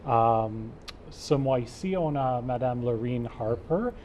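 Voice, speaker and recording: A man from Calgary, a native English speaker with an English Canadian accent, answering interview questions carefully and haltingly in French, with frequent fillers. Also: medium pitch, lively intonation, a little mellow, highs cut off, a little hiss or hum. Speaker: guest, club co-chair. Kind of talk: interview answers in a second language.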